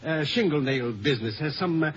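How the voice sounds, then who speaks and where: An actor with an American accent playing a flustered, pompous, queasy older man in 1950 radio comedy, halting and stammering but eager to please. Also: on the high side, animated, close to an everyday voice. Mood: uneasy, flustered. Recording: muffled old radio broadcast recording.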